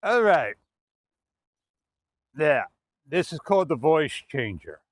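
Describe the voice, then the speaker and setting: A man's voice: a little high, big pitch swings, takes it slowly. A man hosting his own video in a casual, playful and rambling folksy way. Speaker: video host.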